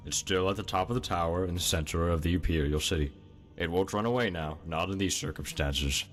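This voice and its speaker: An actor playing an ancient, world-weary demon lord in a video game, sneering and commanding. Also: male voice, sits low, fairly quick, close to an everyday voice. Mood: mocking, reminiscing. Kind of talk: dramatic video game acting. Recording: music behind the voice.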